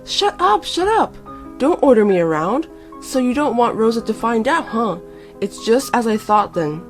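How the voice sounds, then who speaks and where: A woman voicing an angry drunken husband in a dramatised story, yelling and accusing. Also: medium pitch, animated, moderate pace, faint music behind, natural voice.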